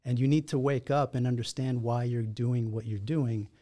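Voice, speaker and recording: A man in his forties from Israel, a podcast guest, telling his story in a reflective, measured way at a studio mic. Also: mid-range voice, steady tone, dark tone. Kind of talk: conversational storytelling. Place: podcast studio in a martial arts gym. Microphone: boom-arm studio mic with headphones.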